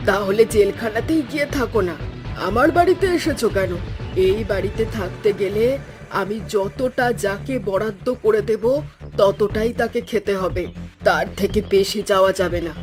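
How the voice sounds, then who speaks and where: A woman's voice: medium pitch, normal speed, a little mellow, close to an everyday voice. A woman playing a stingy, bossy older mother-in-law in a cartoon, scolding curtly and domineeringly. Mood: stern, scolding. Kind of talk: Bengali cartoon story acting. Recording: clear music under the voice.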